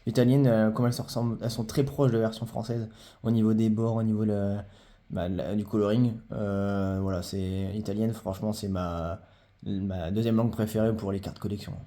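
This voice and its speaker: Mellow low-voiced man, hesitant chat. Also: steady tone, a little fast. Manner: hesitant, many fillers and repeated phrases. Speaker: collector presenting his trading cards. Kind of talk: casual show-and-tell vlog.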